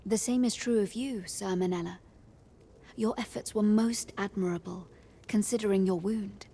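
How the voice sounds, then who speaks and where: A woman acting a creaky-voiced courtly noblewoman. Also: mid-range voice, fairly even intonation, normal speed, mellow, lightly acted. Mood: gracious, subdued. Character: gracious, solicitous noblewoman of the court. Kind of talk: video game character dialogue.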